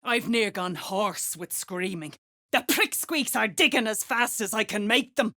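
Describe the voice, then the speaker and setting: A woman playing a gruff, foul-mouthed female duergar overseer in a video game, curt and contemptuous. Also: mid-range voice, lively intonation, a little slow, sharp tone. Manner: curt, cursing, contemptuous slang. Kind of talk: video game character dialogue.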